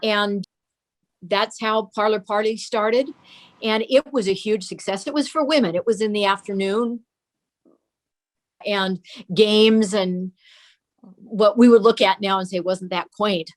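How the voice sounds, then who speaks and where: A woman's voice: medium pitch, a little slow, pauses often. An older woman being interviewed, telling family memories in an anecdotal, explanatory way. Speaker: interview guest. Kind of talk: reminiscing in an interview.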